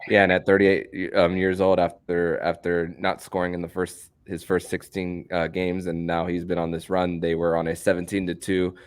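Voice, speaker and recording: A man hosting a sports podcast in a conversational style, halting often and repeating words as he goes. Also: deep voice, monotone, clear, resonant tone.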